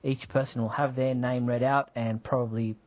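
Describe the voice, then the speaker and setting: A man co-hosts a fan podcast in relaxed, teasing chat with many fillers. His voice sits at a middle pitch, and the recording is a bit dull. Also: moderate pace. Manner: friendly, teasing, uses fillers. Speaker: podcast co-host. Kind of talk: casual chat. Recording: slightly muffled podcast audio.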